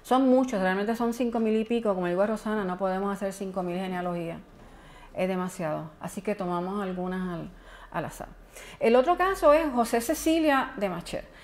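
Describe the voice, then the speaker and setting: A woman with a Puerto Rican accent speaks to a conference audience at a medium, ordinary pitch. She explains things informally, stepping aside now and then to comment in a chatty tone. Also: brisk, a little mellow. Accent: Puerto Rican Spanish. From Puerto Rico. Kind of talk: conference presentation. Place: conference hall.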